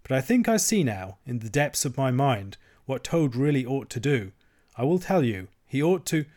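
A man's voice: mid-range voice, very animated, moderate pace, darkish tone, close to an everyday voice. Man as a sensible, earnest storybook rat. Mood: thoughtful. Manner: earnest, reasoning. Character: anxious, sensible, polite male rat.

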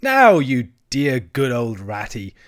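A man voicing a boastful, excitable male toad in a storybook, with a pompous, theatrical manner. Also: mid-range voice, normal speed, close to an everyday voice. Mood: imploring, bossy. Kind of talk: storybook character acting.

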